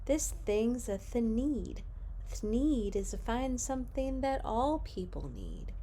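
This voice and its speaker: A woman's voice: a little high, big pitch swings, slow pace, warm tone, slight background noise. A woman voicing a brash, greedy male businessman in a children's storybook, boastful and dismissive. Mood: defensive. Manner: boastful, brushing off objections. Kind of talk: children's storybook read-aloud.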